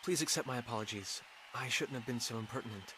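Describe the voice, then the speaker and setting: An actor playing an earnest, modest young adventurer in a fantasy game, hesitant and self-effacing. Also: male voice, mid-range voice, fast talker, lightly acted. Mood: polite. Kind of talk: anime-style fantasy game dialogue. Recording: clear game ambience noise behind.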